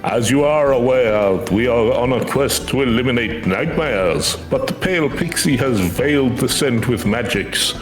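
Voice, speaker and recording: A man's voice: mid-range voice, lively intonation, measured pace, few pauses, natural voice. A man voicing a courteous, earnest magical familiar in a video game, speaking formally and eloquently. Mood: earnest, urgent. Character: courteous, earnest male magical familiar who hunts nightmares. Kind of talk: video game quest dialogue read aloud. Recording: echoey voice over game music.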